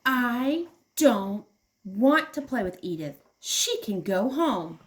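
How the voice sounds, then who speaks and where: A woman's voice: on the high side, very animated, takes it slowly, pitched above the performer's own voice. A woman voicing a young girl kangaroo in a picture book, whiny and insistent, stretching out her demands. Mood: upset, stubborn.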